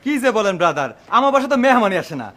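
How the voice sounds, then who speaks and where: An eager young husband in a TV drama, very polite and hospitable. He talks extremely fast in a lively, swooping voice with a Bangladeshi accent, over faint background noise. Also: high-pitched. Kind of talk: TV drama acting.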